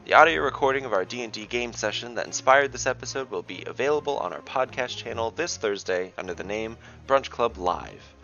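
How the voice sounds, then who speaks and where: A man narrating a scripted fantasy story in measured, deliberate phrases. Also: mid-range voice, expressive, vocal fry, sharp tone, lo-fi sound, soft background music. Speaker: narrator and game master.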